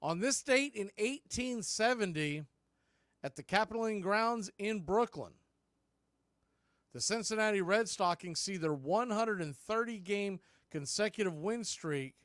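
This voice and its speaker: A man's voice: high voice, animated, a little slow, fairly bright tone, no echo, studio quality. A man doing radio baseball play-by-play as the announcer, speaking in short, clipped phrases.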